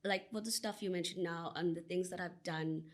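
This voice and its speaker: Earnest South African woman, lowish voice. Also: steady tone, a little fast. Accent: South African English. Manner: earnest, expansive, frequent "you know" fillers. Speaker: podcast guest. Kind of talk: conversational interview answer.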